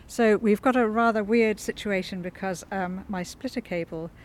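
A woman hosting a podcast, asking friendly questions with soft laughs, outdoors in a community garden, sharing one small microphone. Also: medium pitch, moderate pace, slight background noise. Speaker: podcast host. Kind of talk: casual interview. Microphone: sharing one small microphone with the guest.

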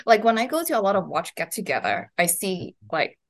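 A woman's voice: mid-range voice, very animated, a little fast. A woman from Hong Kong chatting casually as an interview guest, with informal phrasing full of "like". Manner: informal, peppers talk with "like". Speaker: podcast guest.

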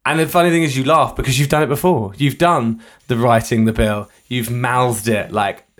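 Two to four sentences: A young man from the UK talks in a relaxed podcast chat with a middle-of-the-road pitch. He is animated and breaks into laughter as he goes. His voice sits in an ordinary register and he keeps it conversational. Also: normal speed. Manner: chatty, animated, bursts of laughter. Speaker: podcast co-host. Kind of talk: casual chat.

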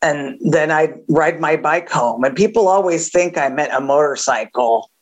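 A woman with a gravelly voice giving a candid recovery share, halting with many fillers and a wry edge. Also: deep voice. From Southern California. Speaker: AA speaker.

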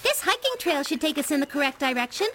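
Woman playing a prim formal companion, very high voice, lively pitch, stiff wording. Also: fast, slight background noise, exaggerated voice.

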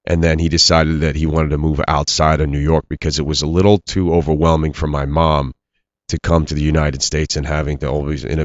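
Deep-voiced, earnest middle-aged man. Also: no room sound, muffled recording. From Iran, raised in Pennsylvania. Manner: earnest, halting, many restarts. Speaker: actor guest. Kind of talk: interview conversation.

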